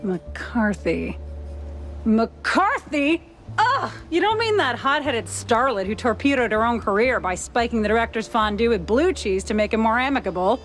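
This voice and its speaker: A woman playing a sharp-tongued noir reporter in a cartoon video game, teasing and arch in her delivery. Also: a little high, animated, normal speed, soft background music. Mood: sardonic, exasperated. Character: sharp-tongued, sardonic female newshound in a noir cartoon world. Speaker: reporter character.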